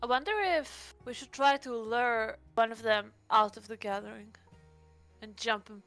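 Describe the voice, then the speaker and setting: A woman's voice: a little high, steady tone, moderate pace, fairly bright tone, faint music behind. A woman playing a tabletop roleplaying game, asking questions and floating plans in a tentative, casual way. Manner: tentative, inquisitive.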